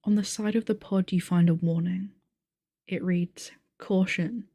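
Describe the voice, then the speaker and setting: A woman playing a calm game master who narrates a sci-fi tabletop adventure in measured, descriptive speech. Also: on the low side, no room sound, studio quality. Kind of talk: scripted narration, ASMR audio roleplay.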